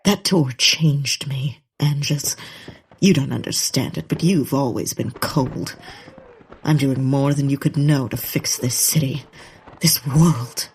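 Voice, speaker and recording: A woman's voice: rumbling low, slow, slightly rough, exaggerated voice. A woman playing a righteous, melodramatic lady naturalist poet in a comedic period audio drama. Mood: righteous passion. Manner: solemn, impassioned, dramatic.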